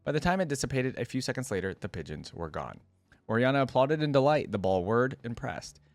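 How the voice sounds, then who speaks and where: A man's voice: medium pitch, big pitch swings, brisk, no echo. A man reading a story aloud expressively as a reaction video creator, breaking off for casual asides.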